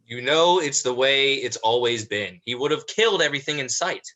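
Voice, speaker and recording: An actor playing a protective, tender older brother in a vampire family, in a dramatized play reading with halting, repeated words. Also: male voice, medium pitch, lively intonation, sharp tone, rough recording. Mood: weary, matter-of-fact. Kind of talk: dramatized livestream script reading.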